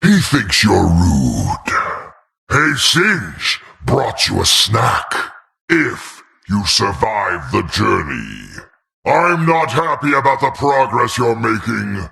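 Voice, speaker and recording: Gravelly, manic fiery dungeon master. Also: male voice, on the low side, wildly swooping pitch, sharp tone, full character voice. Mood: taunting. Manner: theatrical, taunting, drawn-out emphasis. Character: manic, gleeful fiery dungeon master relishing burning everything. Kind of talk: video game character voice lines.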